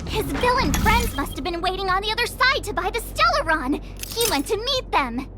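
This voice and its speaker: Excitable, high-pitched girl detective. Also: normal speed, over music. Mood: eager. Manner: perky, theatrical, self-important. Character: bubbly, excitable teenage girl playing amateur detective. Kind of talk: anime-style video game voice acting.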